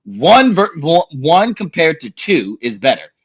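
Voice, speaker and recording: A man's voice: a little high, sing-song, unhurried, husky. A man hosting a wrestling podcast, making his points emphatically in casual banter and swearing freely. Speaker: podcast host.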